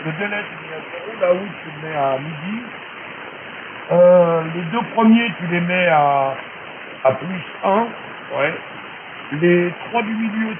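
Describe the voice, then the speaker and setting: A man with a fairly high voice chats as a radio amateur, explaining technical things in loose, colloquial speech. He comes through a thin shortwave channel with clear hiss and static around him. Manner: colloquial, chatty explaining, frequent tag words. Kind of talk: casual radio chat with technical advice. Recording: narrow hissy noisy shortwave radio transmission.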